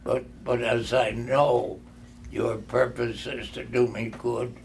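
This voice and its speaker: A man reads as a frightened old miser from a storybook, humbled and pleading. The voice is gravelly and slow, stumbling over words as it begs. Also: medium pitch, a little hiss or hum. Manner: halting, stammering.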